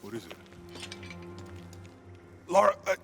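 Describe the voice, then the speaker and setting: A man's voice: high-pitched, big pitch swings, slow, creaky, music in the background, exaggerated voice. An actor playing an easygoing male friend helping on an expedition in a video game. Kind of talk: video game cutscene acting. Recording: game audio with ambient and vehicle noise.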